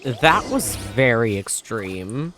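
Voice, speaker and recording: A man hosting a let's play, reading game text aloud and reacting in a casual, animated manner. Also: medium pitch, slow. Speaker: gaming video host. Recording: faint music behind.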